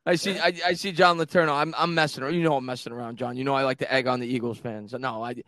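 A man's voice: mid-range voice, steady tone, fast talker, a bit bright, no echo, studio quality. A man co-hosting a sports podcast with teasing, trolling banter. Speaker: podcast co-host. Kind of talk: casual sports podcast chat.

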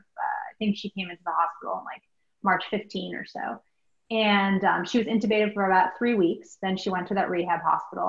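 A woman's voice: medium pitch, creaky. American woman in a roomy, dull recording. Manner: thoughtful, halting, peppered with like and you know. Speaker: guest, doctor and writer. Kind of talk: conversational interview answers.

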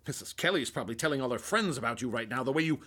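An actor playing an anxious, haunted doctor in radio drama, a reluctant accomplice who tells of horrors in florid, grave words. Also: male voice, medium pitch, expressive, very fast, noisy background, natural voice. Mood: nervous. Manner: grave, florid storytelling, fretful in dialogue. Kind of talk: audio drama in old-time radio style.

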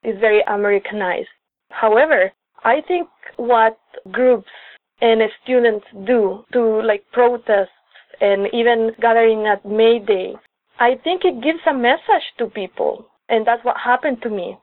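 Casting note: woman from El Salvador, twenties, higher voice, dry tinny phone audio. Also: a little slow, no echo. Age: 20s. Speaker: guest, activist organizer. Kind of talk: interview over a call.

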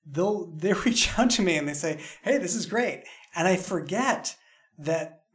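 A man's voice: sits high, expressive, unhurried, a bit bright. A man hosting a conversational podcast roundtable as the show's creator. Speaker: host, show creator.

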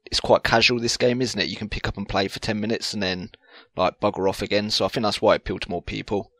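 A man's voice: lowish voice, flat delivery, fast talker, a bit bright, no room sound. A British man chatting informally and with slang as co-host of a video game podcast. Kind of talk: casual video game chat.

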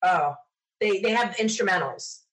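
A woman's voice: medium pitch, moderate pace, a bit bright, low-quality recording. A woman from the United States chatting enthusiastically as a podcast interview guest, telling stories in a casual way. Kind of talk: casual interview chat, animated anecdotes.

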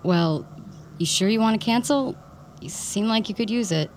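An actress playing a soothing, chatty female acupuncturist with a gentle, coaxing manner in an audio drama. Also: mid-range voice, normal speed, a little hiss or hum, natural voice. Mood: casual, prodding.

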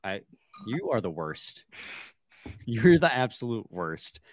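A man's voice: fairly low pitch, big pitch swings, slow, dry recording. A man with a stuffy, congested voice, hosting a casual sports podcast with laid-back, joking banter. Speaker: podcast co-host.

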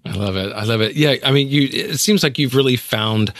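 A man's voice: mid-range voice, brisk, fairly bright tone, no room sound. Man hosting, dry close sound. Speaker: podcast host. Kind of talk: conversational interview hosting.

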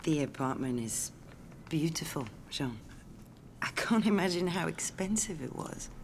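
Low-voiced, sardonic young woman. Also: animated, measured pace, a little hiss or hum. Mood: quietly doubtful. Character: young French fiancée, wounded, sardonic, quietly bitter. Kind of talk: naturalistic audio drama acting and intimate narration.

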